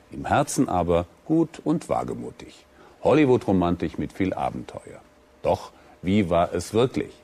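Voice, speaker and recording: Husky, deep-toned male presenter. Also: warm tone. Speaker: documentary presenter. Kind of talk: scripted narration.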